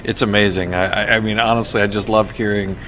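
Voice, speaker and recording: A man hosting a podcast in casual chat, enthusiastic and somewhat halting, often repeating words. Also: fairly low pitch, steady tone, fairly bright tone. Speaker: podcast host. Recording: faint background noise.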